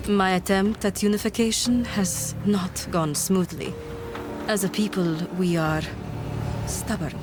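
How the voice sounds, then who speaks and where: A woman's voice: mid-range voice, steady tone, unhurried, natural voice. A woman playing a determined, regal desert leader in a fantasy game, formal and commanding. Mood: grave resolve. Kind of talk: dramatic fantasy game acting. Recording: music under the voice.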